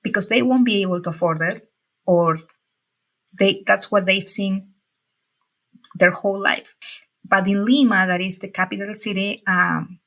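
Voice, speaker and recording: A woman in her forties from Peru, a guest telling her story in a casual interview, pausing and restarting as she searches for words. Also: mid-range voice, steady tone, a bit bright. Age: 40s.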